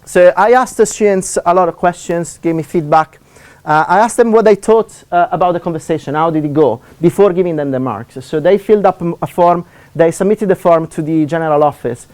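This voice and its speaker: A man from Italy, speaking English with an Italian accent, giving a seminar talk as a university lecturer; he talks fast with many fillers and restarts. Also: on the high side, darkish tone.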